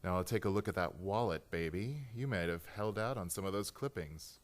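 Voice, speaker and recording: An actor playing a smug, menacing crook with mocking charm in a suspense radio play. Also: male voice, sits low, brisk, natural voice. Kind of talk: suspense radio drama acting.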